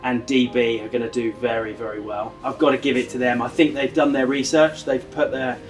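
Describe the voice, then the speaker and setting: A man's voice: mid-range voice, level tone, fairly quick. A man from near London answering interview questions in a modest, easygoing way. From near London, England. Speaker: angler competitor. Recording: distant mic, faint music behind.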